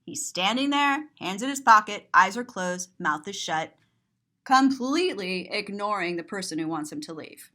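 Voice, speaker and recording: A woman reading a picture book aloud to children in an expressive, sing-song way, drawing out words and describing the pictures. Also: mid-range voice, normal speed. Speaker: children's story reader and teacher.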